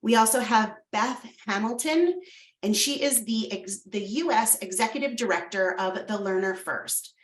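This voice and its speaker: A woman's voice: mid-range voice, normal speed. A woman hosting a webinar, reading out panel introductions from a script with small slips and self-corrections. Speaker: webinar host. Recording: roomy sound from a distance.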